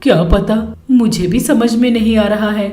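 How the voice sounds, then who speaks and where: A woman voicing a boastful, blunt old mother-in-law in a cartoon story, talking loudly and scolding. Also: medium pitch, moderate pace, lightly acted. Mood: grumbling. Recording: roomy echo, faint music behind.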